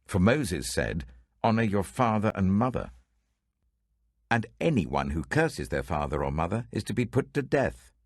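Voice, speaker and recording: A man's voice: fairly low pitch, big pitch swings, a little slow, dry recording, clean studio sound, voice pushed up, full character voice. A British man voicing a stern, authoritative religious teacher in a dramatic scripture reading, emphatic and weighty. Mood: rebuking.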